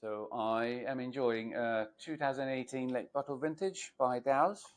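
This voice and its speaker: A man's voice: medium pitch, measured pace. A man in his forties from Hungary, with a Hungarian accent, speaking English on a video call as a port wine educator, thoughtful with many fillers and restarts.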